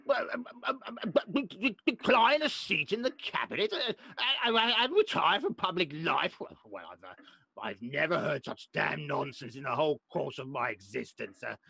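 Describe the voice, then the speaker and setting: Spluttering, creaky old English lord. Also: male voice, a little high, sing-song, moderate pace, bright, forceful tone, dry recording, exaggerated voice. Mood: indignant spluttering. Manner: spluttering, stammering, pompous. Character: blustering, indignant elderly English lord, exasperated father. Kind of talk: radio play acting.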